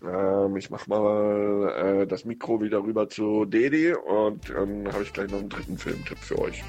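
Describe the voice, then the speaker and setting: A man reviewing films on the radio in an unscripted, halting way, searching for words. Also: on the low side, fairly even intonation, music in the background. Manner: halting, hesitant delivery with searching pauses. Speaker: film critic on a radio show. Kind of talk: unscripted radio film recommendations.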